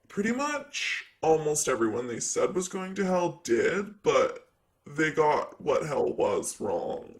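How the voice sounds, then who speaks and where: A man's voice: fairly high pitch, exaggerated voice. A man voicing a sassy, jaded demon secretary of hell in an improvised ASMR roleplay, chatty and sarcastic with breezy, rambling delivery. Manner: sarcastic, breezy, rambling with casual filler words.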